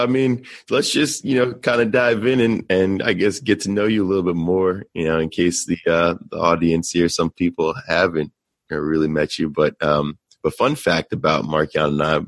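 A man's voice: sits low, steady tone, moderate pace, dry recording. A young adult man hosting a casual podcast interview, laid-back and friendly with his guest. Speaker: podcast host.

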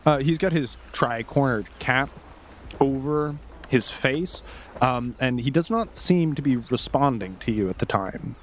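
A man running a tabletop roleplaying game as game master, describing scenes in an unhurried way. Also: mid-range voice, animated, slight background noise.

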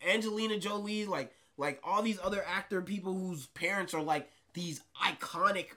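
A young man, an actor, chatting casually with a light voice and animated, excitable bursts of pitch and loudness. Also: moderate pace. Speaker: actor guest. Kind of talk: casual chat.

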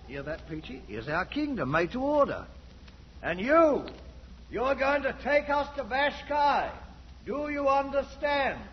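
A man's voice: very high voice, big pitch swings, a little slow, slightly rough, exaggerated voice. An actor playing a bold, domineering soldier-adventurer out to be king, commanding and boastful in 1940s radio drama style. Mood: masterful, imperious. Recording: muffled, faintly noisy 1940s radio recording.

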